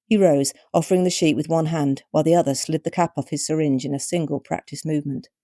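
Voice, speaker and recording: A British woman narrating her own short story with measured, wryly expressive storytelling. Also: low-pitched, no room sound, studio quality. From Britain.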